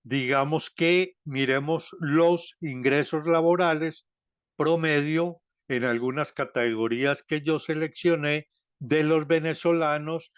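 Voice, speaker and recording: A man researcher with a Colombian Spanish accent giving an academic seminar presentation, talking slowly and deliberately with many hesitations and self-corrections. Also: medium pitch, clear, resonant tone, dry recording, dull audio.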